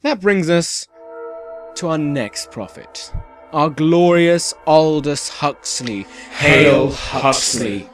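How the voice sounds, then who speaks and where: A man's voice: a little high, slow, soft background music. A man playing a cheerful yet sinister dystopian radio host, delivering scripted propaganda in a theatrical radio-play style. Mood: reverent, grand. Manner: theatrical, dramatic, swinging from grand proclamation to hushed menace. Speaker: radio announcer.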